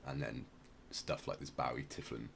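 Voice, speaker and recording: A man's voice: extremely low pitch, level tone, normal speed, gravelly, fairly bright tone, a little hiss or hum. A man hosting a podcast, casually explaining music in a hesitant, rambling way. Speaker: podcast host.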